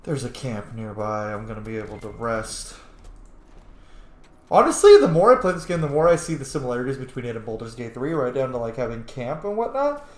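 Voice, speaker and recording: A man doing casual gameplay commentary in an excitable, conversational, sweary way. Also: mid-range voice, fairly quick, roomy sound. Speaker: gaming video host.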